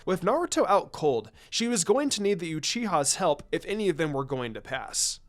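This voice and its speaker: A man reading a story aloud as an expressive narrator of scripted fiction. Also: on the high side, moderate pace. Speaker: story reader.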